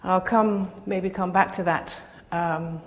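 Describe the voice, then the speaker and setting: An older woman giving a soft-spoken, reflective personal sermon to her congregation, pausing often as she speaks. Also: lowish voice, fairly even intonation. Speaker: preacher.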